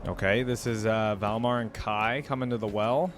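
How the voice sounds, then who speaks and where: A young man hosting a reaction video, thinking aloud casually and breaking into excited exclamations. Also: fairly low pitch, moderate pace. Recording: voice over playing video music.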